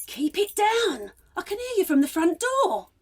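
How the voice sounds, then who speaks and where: A stern matron in a period radio drama. She has a high, lively voice and speaks in a prim, clipped, commanding way. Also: normal speed. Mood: scolding. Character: stern, brisk matron of a charity asylum.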